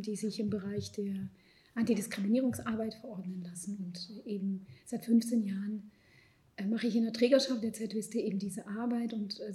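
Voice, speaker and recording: Thoughtful middle-aged woman with a mellow voice. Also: mid-range voice. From born in Ukraine, raised in Israel. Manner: thoughtful, hesitant with frequent fillers and soft trailing asides. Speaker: psychologist and panel guest. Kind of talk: panel discussion.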